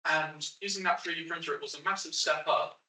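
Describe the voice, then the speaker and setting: An eighteen-year-old man, loud and chatty, telling his story with rambling asides. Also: on the high side, steady tone, brisk. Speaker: young maker and guest. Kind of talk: casual spoken story. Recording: roomy sound from a distant mic.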